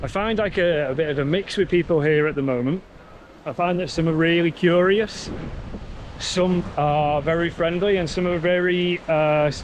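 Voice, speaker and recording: Young man, travel vlogger, chatty and upbeat, slightly high voice, outdoor recording with wind noise. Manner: chatty, enthusiastic, repeats words like "really" and "super". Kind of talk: casual travel vlog chat. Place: outdoors at hot spring pools and on a motorbike.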